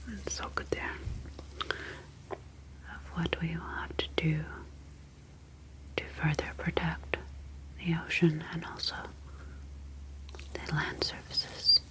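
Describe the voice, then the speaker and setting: A woman playing a calm, superior ocean alien scientist in an ASMR roleplay, speaking in a breathy, soothing whisper with formal, deliberate phrasing. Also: low voice, poor audio. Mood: grave, disapproving.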